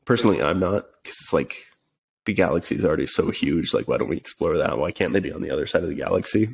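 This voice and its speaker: A man's voice: low voice, fast talker, gravelly, slightly rough. A man who is sick and sniffling, chatting casually as podcast co-host with lots of hesitant fillers. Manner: relaxed, hesitant with many fillers. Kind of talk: casual chat.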